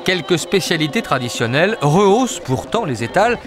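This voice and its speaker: A man reading a scripted documentary narration in a measured, expressive way, recorded as a studio voice-over. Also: on the high side. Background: music.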